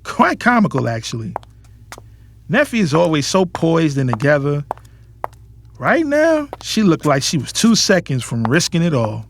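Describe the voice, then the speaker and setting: A man's voice: fairly high pitch, huge pitch swings, measured pace, creaky, a little hiss or hum, heavily acted. A man acting as a defiant, protective young adult son of a boss in an audio drama. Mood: reflective, proud. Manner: assertive, emphatic. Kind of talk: dramatized first-person audio drama narration and dialogue.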